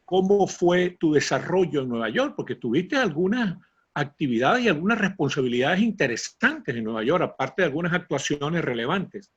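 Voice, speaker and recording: Husky-voiced man hosting an interview, lo-fi sound. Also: on the high side, sing-song, normal speed. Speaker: interview host. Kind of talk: conversational interviewing.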